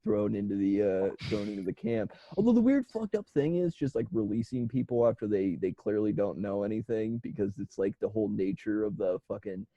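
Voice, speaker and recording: A man's voice: lowish voice, a little fast, few pauses, dry recording, muffled recording. A man co-hosting a comedy podcast in casual chat, swearing freely and breaking easily into laughter. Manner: profane, animated, laughs easily. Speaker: podcast host.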